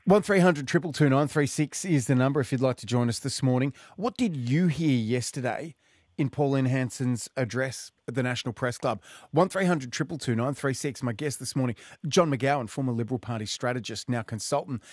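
A male talk radio host, questioning guests and taking calls in a brisk, direct way. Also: medium pitch, darkish tone, no room sound, clean studio sound.

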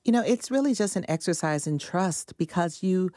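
A woman novelist in a studio interview, explaining her view in a measured, earnest way. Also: fairly low pitch, lively intonation, warm tone, dry recording. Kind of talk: conversational interview answer.

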